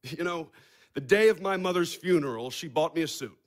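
A man's voice: on the high side, a little fast, close to an everyday voice. An actor playing a blunt, sardonic American money manager in his thirties, dry and needling, in a naturalistic stage play. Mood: wry, frank. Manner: dry, needling, matter-of-fact.

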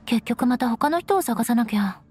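Woman acting as young noble lady knight, high lively voice, haughty, composed, formal, anime game, music behind. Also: normal speed, natural voice. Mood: calm, resigned.

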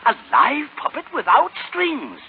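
A man's voice: very high voice, huge pitch swings, a little slow, a little hiss or hum, exaggerated voice. An actor playing a sly fox con man, pompous, florid and wheedling, in 1930s radio style. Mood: scheming. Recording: muffled 1930s radio broadcast recording. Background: music.

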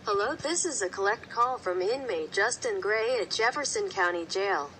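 A woman's voice: medium pitch, expressive, moderate pace, bright, forceful tone, distant mic. Automated woman announcer over noisy phone speaker. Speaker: automated collect-call announcer.

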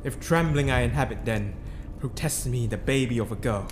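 Casting: male actor as guilt-ridden tragic king, mid-range voice, theatrical and unstable, clearly noisy amateur recording. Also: medium pitch, normal speed, natural voice. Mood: defiant. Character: guilt-haunted Scottish king, terrified, raving, boastful. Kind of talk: dramatic reading of Shakespearean tragedy.

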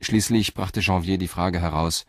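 A man narrating a radio crime play in a calm, measured storytelling manner. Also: sits low, no echo, clean studio sound. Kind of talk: scripted audio drama narration.

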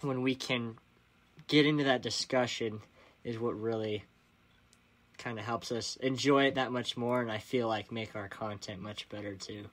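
A young man chatting casually on a podcast, easygoing and quick to laugh, with hesitant restarts. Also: medium pitch, steady tone, a bit bright. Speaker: podcast co-host, guest. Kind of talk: casual chat.

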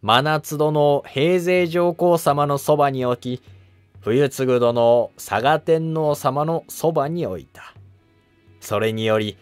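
A man's voice: a little high, slow pace, clear, resonant tone, dry recording, voice pushed up. A man acts out a shrewd monk in a historical cartoon drama. He is courteous but insinuating, always probing. The recording is dry with faint music underneath. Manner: polite, insinuating questions.

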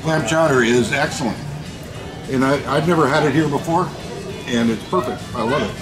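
A man's voice: medium pitch, normal speed, over music, rough recording. Older man praising the chowder. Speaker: customer. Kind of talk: casual testimonial. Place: outdoor restaurant patio.

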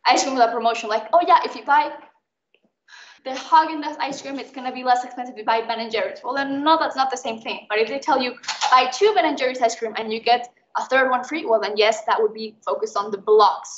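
Fast-talking young woman, high voice. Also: slight background noise. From Mexico. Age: young adult. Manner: chatty, explains with everyday examples. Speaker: student tutor leading an exam recap. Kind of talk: informal online lesson. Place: indoors, online video call. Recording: muffled, roomy online call recording.

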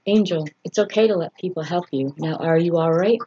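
A woman's voice: on the low side, fairly quick, warm tone, breathy edge. A woman reading the part of a caring, protective man in a story, reassuring and firm, on a muffled recording. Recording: dull lo-fi sound with faint noise.

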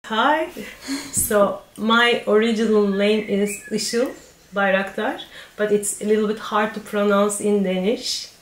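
A woman farmer from Turkey with a Turkish accent, speaking thoughtfully in a casual interview and pausing with fillers. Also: mid-range voice, fairly even intonation, reverberant, low-quality recording.

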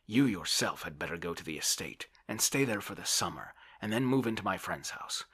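A man's voice: low-pitched, lively intonation, fairly quick, a bit bright, slightly rough, voice pushed up, heavily acted. A man voicing a brash, grasping, bullying character in an audiobook, blustering and scolding in a bossy manner. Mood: scornful, boastful. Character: brash, bullying, grasping Russian man, hectoring his friend.

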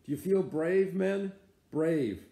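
A grim, obsessed old sea captain with a deep gravelly rasp and a dark, mellow tone, played by a man reading aloud. He commands his crew in archaic, solemn speech, and the voice sits in an echoey room. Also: slow. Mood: commanding.

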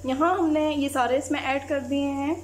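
A woman, narrating a home cooking recipe step by step in a calm voice-over as channel host. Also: high voice, normal speed, slight background noise.

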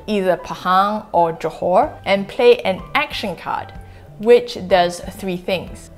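A woman in her forties from Singapore, hosting a family board game channel with clear, measured, upbeat scripted narration recorded in a living room. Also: medium pitch. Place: home living room, indoors.

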